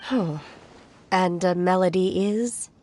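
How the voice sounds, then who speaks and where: Calm unworldly adventurer lady for a game, formal n gentle, takes her time. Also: on the low side, dry recording. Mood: serene, puzzled. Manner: measured, formal, reflective. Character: composed, earnest adventurer woman, unworldly and gentle. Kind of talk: video game voice acting.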